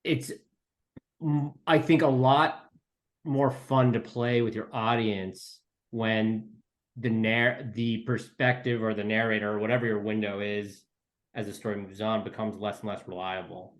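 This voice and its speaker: Casual, slightly low-voiced male writer. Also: fairly even intonation, unhurried. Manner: loose, tentative phrasing full of "like" and "you know". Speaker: interview guest, writer. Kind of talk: casual chat.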